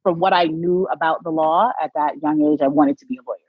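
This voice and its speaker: A woman from Barbados with a low, husky voice speaks as an interview guest, reflective and quick to laugh. Her audio is dry and slightly muffled, like a remote call. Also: no echo. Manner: warm, reflective, laughs often. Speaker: lawyer and podcast guest. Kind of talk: conversational interview.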